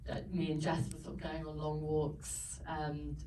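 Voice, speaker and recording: A woman's voice: low-pitched, monotone, measured pace. A woman, a film producer speaking on a screening panel in a loose, rambling way with many fillers. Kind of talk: Q&A answer at a screening. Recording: roomy hall, far from the mic, some noise.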